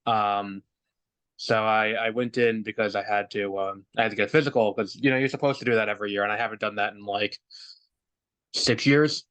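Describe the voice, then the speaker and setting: A man's voice: fairly low pitch, fairly even intonation, brisk, a bit bright, rough recording. A young man hosting a podcast in casual chat over a remote call, rambling with lots of fillers and restarts. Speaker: podcast host.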